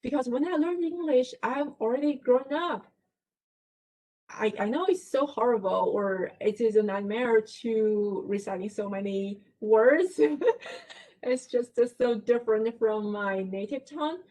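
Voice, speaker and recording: Slow-paced Chinese-accented woman lecturing. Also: a little high, warm tone, poor audio. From China. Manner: explains step by step, checks in with "right?"; slips in light laughs. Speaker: guest lecturer. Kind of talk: library book talk presentation.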